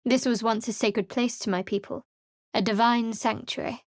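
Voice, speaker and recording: Guarded and sardonic, this young female cleric speaks in a fairly high, dry-edged voice, as an actress plays her. Her remarks come out cool and sarcastic, recorded close and completely dry in a studio booth for a game. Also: fairly even intonation, moderate pace, no echo, close to an everyday voice. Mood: wry. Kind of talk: video game voice lines. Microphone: close studio mic.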